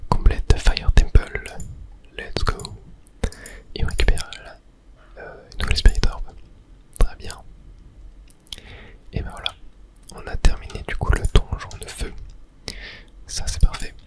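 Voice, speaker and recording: A fully whispered voice in an ASMR gaming style, speaking close to the microphone. The delivery is hushed and unhurried, commenting on the game as it is played. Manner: hushed whispering, unhurried narration of own play. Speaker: gaming ASMR creator and host.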